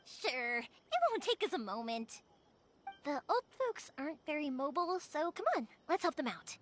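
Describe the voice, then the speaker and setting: An actress voicing a cheerful, energetic young fireworks maker in a video game, bubbly and reassuring. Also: female voice, high-pitched, normal speed, slight background noise. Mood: eager, reassuring. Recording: music behind the voice.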